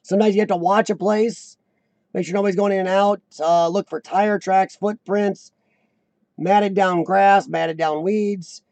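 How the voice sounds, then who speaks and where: A man in his forties chats casually with viewers in a high, squeaky voice that is rough and gravelly from years of coughing. His tone is dark and mellow despite the pitch, he keeps clearing his throat, and the sound is close and dry. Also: steady tone, dry recording. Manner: clears throat often, halting restarts. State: voice worn from lung illness and coughing. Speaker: host of a live chat show. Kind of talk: casual live-stream chat reading viewer comments.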